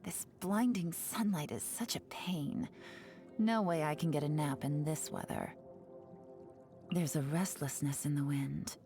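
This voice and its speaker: A sly young woman spy in a video game, with a fairly low, mellow voice. She speaks in an unhurried, teasing, secretive manner that slips into breathy asides, with music behind her. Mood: lazy, drowsy. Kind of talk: video game character voice lines.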